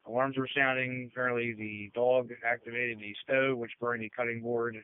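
A man's voice: medium pitch, little pitch movement, moderate pace, clean tone. A man working as a fire dispatcher, giving clipped, procedural readbacks over two-way radio. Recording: tinny narrowband radio transmission.

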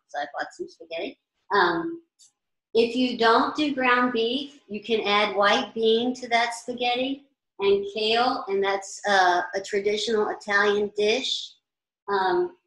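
An older woman in her sixties talks through a week of easy meals as a chef teaching a class, chatty and practical. Her voice sits a little high. The sound bounces around a large, echoey kitchen, picked up by a camera mic from a few steps away. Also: fairly bright tone. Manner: chatty, practical, standing and gesturing at boards. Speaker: chef teaching meal planning. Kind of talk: informal cooking class talk. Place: large indoor commercial kitchen.

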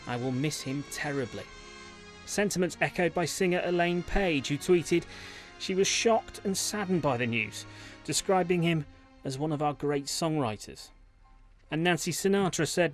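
British man, TV reporter voice-over, scripted obituary, slightly high pitch, light music underneath. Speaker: news reporter.

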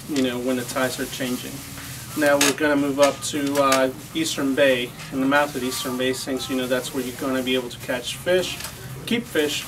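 A man's voice: medium pitch, distant mic, poor audio. A man in his thirties hosting a casual, unscripted fishing report, informal and full of filler phrases. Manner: informal, filler-heavy delivery with frequent "you know". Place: inside a tackle and clothing shop. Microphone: lapel mic. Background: background music.

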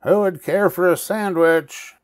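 A man's voice: sits high, fairly even intonation, a little slow, smooth, pitched above the performer's own voice. A man voicing a small, bossy female witch in a storybook, with a cackling, commanding manner. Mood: calling out.

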